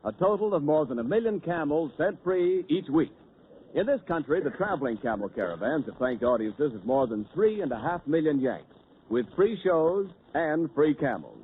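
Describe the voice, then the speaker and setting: A man announcing sponsor copy in a smooth, formal 1940s radio style, recorded tinny. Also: a little high, very animated, normal speed. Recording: tinny 1940s radio broadcast, faint hiss.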